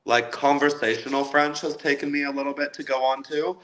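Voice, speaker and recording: A man's voice: medium pitch, steady tone, a little fast, fairly bright tone, low-quality recording. A Canadian man chatting casually as a guest, easygoing and laughing lightly now and then. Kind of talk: casual interview chat.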